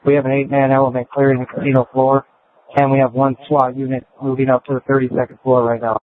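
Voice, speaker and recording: A police officer giving clipped status reports over the radio. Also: male voice, medium pitch, completely flat delivery, fast talker, dry recording. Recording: tinny dry police radio.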